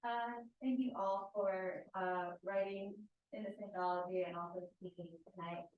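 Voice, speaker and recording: A woman's voice: medium pitch, fairly even intonation, moderate pace. A woman in an audience asks a question hesitantly, with many "uh"s. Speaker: audience member asking a question. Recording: tinny, very echoey, faint hiss.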